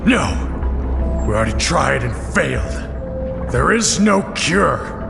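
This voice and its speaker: Gravelly, bitter man-monster, cavernous reverb. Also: fairly high pitch, very animated, heavily acted. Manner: slow, menacing, dramatic delivery. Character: embittered mutated man-monster, defiant and menacing. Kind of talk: audio drama acting. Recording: reverberant, loud music behind.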